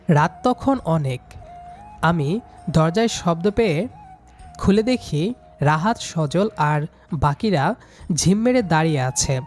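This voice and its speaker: A man's voice: sits high, a little mellow. A man narrating a scripted first-person story as the storyteller. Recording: music behind the voice.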